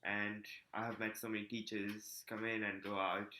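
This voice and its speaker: Deep-voiced young man from Japan. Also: a little hiss or hum. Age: late teens. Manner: calm, halting, with ums. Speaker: student. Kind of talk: casual answers on a video call. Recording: roomy, echoey laptop audio.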